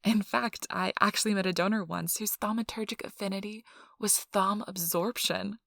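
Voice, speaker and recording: A woman playing a friendly, chatty intake interviewer in a soft ASMR role-play, explaining things politely and informally. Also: medium pitch, steady tone, normal speed, creaky, no echo, studio quality. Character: friendly, chatty female intake interviewer at a vampire blood donation center.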